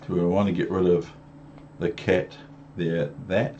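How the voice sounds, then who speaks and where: A man's voice: deep voice, little pitch movement, unhurried, dark tone. A man reading a picture book aloud to young children, with chatty asides and questions. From New Zealand. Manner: rhythmic, repetitive, talking down to young listeners with questions. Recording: muffled lo-fi home recording with background noise.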